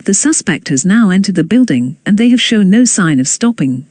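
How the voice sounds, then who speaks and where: Formal emergency announcer, dry close sound, lively pitch. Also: moderate pace, dry recording. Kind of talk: scripted emergency news announcement.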